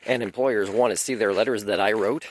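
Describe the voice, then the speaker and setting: Rambling, aggrieved low-voiced man. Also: fairly quick, a little hiss or hum, rough recording. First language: French. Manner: rambling, aggrieved, clears throat often. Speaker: video lecturer. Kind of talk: unscripted monologue.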